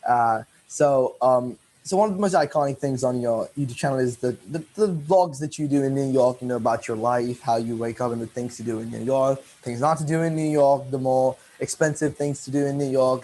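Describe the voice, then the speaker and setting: A man's voice: medium pitch, mellow, rough recording. Rambling teenage boy interviewer. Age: teens. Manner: rambling, repeats and corrects himself. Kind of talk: casual online interview.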